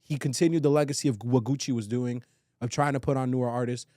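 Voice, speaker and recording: A man's voice: medium pitch, fairly even intonation, quick pace, dark tone, dry recording, clean studio sound. A man talking casually and with opinions as a podcast co-host, slangy and emphatic, swearing and repeating phrases for weight.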